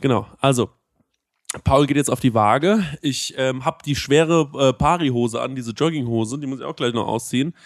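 A man's voice: medium pitch, normal speed, no echo, clean studio sound. A man on a casual podcast, rambling with many fillers and poking fun at himself. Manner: rambling, full of fillers, self-mocking. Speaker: podcast co-host. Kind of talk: casual chat.

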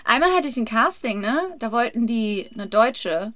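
A German actress chats on a podcast in a slightly high voice, recorded very dry and close. She tells her stories with animation, slipping into the voices of the people she quotes. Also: moderate pace, no room sound. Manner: animated storyteller who acts out dialogue. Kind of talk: casual podcast chat. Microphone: home podcast setup.

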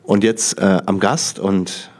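A man from the Lower Rhine in Germany hosting a conversational interview, asking informal, rambling questions that often end in tag questions. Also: little pitch movement, slow pace. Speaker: podcast interviewer.

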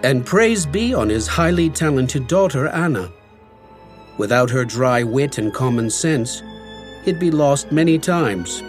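A man narrating an audio drama from a script in a measured, formal storytelling manner. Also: mid-range voice.

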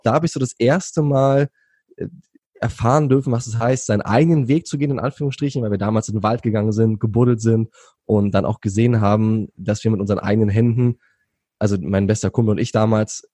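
A man's voice: fairly low pitch, mellow, husky, no echo, clean studio sound. A young man from Berlin, recounting his youth as an interview guest in a rambling way, with many fillers and restarts. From Berlin, Germany. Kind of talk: relaxed conversational storytelling.

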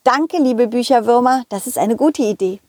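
A woman's voice: a little high, normal speed, no echo, close to an everyday voice. A woman voicing a loyal, confident, encouraging male dog who talks kindly to friends in a children's audio play. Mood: thankful. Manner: encouraging, addresses listeners and companions warmly. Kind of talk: children's audio play, told in first person.

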